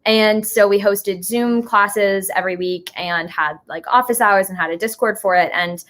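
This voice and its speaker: A woman's voice: medium pitch, moderate pace, a bit bright. A woman in her early twenties chatting casually as an interview guest, in youthful, slangy speech. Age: early 20s. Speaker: interview guest, musical writer. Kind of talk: casual chat.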